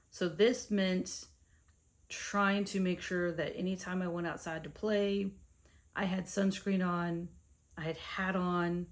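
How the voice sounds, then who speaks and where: Conversational American woman, lowish voice. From India. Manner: conversational, with fillers and asides to the viewer. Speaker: vlogger. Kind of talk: casual personal storytelling to camera.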